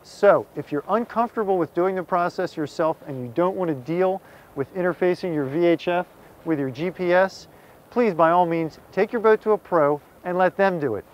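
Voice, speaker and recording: A man's voice: sits high, moderate pace, muffled recording. A middle-aged American man presenting a scripted how-to to camera in a direct, folksy way, addressing viewers plainly. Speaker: presenter, magazine contributing editor. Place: outdoors on a small open boat on the water.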